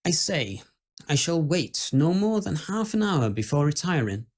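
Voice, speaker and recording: A man playing a skeptical, uneasy Victorian gentleman who tells ghost stories in a formal, measured, old-fashioned way. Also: a little high, sing-song. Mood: uneasy, wry. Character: dread-filled, skeptical Victorian gentleman recounting house ghosts. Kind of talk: first-person dramatic ghost-story monologue.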